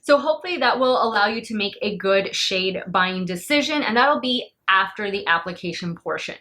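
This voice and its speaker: A woman's voice: medium pitch, expressive, normal speed, sharp tone. A woman in her late thirties, chatting upbeat to viewers as she reviews a beauty product. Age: 30s. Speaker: beauty vlogger. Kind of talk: casual product review to camera.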